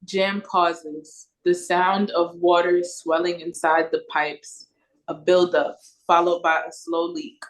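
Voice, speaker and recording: A woman's voice: on the low side, little pitch movement, takes it slowly, poor audio. A woman reading stage directions as narrator in a scripted read-through over a video call.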